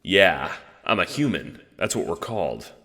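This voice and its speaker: Man playing an awkward newly dead guy, deep voice. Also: very animated, unhurried, pushed and bright, in a large hall, exaggerated voice. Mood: more at ease. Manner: hesitant, awkward. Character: nervous, awkward dead male human newcomer. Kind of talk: audio drama character acting.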